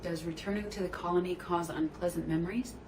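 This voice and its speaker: An actress voicing a female ship's artificial intelligence, even, analytical and precise. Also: on the low side, fast, noisy background, lightly acted. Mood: clinical. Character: female ship AI, polite and clinical.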